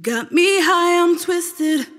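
A woman's voice: extremely high pitch, lively intonation, slow, a bit bright. A woman singing a pop vocal line. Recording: long reverb with music.

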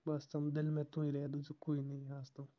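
The voice is a caller's, medium in pitch and flat in melody. The talk is casual and private, as on a phone call. The recording is dull and lo-fi. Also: normal speed.

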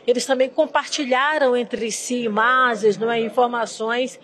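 A woman with a Brazilian Portuguese accent, giving an emphatic, deliberate press statement into a cluster of press microphones in an indoor hallway. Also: a little high, fairly even intonation, fairly bright tone. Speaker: politician at press scrum.

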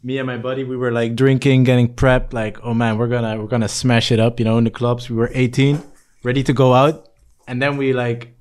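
A man's voice: mid-range voice, little pitch movement, fairly quick, hardly any pauses, darkish tone, clean tone. A young man from the Netherlands, a podcast guest, talking in an easygoing, slangy storytelling way. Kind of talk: casual chat.

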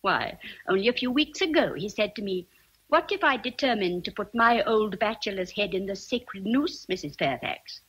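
A woman's voice: medium pitch, animated, normal speed, a bit bright, slightly rough, low-quality recording. An actress playing a chatty, motherly housekeeper in a radio drama, savoring her words with emphasis. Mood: cautioning. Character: gossipy, motherly housekeeper, respectful of rank. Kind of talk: vintage radio drama acting.

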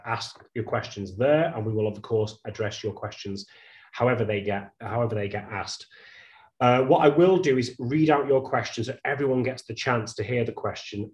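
A man's voice: fairly low pitch, darkish tone. A man in his thirties hosting a live webinar over a video call, chatty and loose, often restarting his sentences. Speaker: webinar host.

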